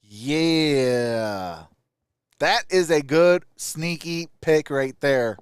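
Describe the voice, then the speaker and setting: A man's voice: fairly high pitch, expressive, extremely slow, clear, resonant tone, no room sound, clean studio sound. A man hosting a live sports stream, giving casual, opinionated commentary and answering viewer comments.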